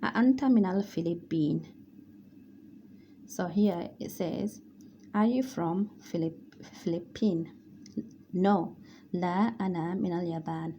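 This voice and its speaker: A woman's voice: lowish voice, takes it slowly, long pauses, warm tone, slight background noise. A woman teaching Arabic grammar, explaining patiently and repeating word lists.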